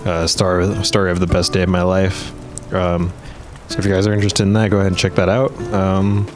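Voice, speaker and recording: A young man with a very deep voice that sounds worn and a little rough, chatting loosely while he plays. He rambles, trails off and restarts often. Clear game music plays underneath him. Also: level tone, fairly quick. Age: young adult. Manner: rambling, many fillers and restarts. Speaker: gaming video host. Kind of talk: casual gameplay commentary.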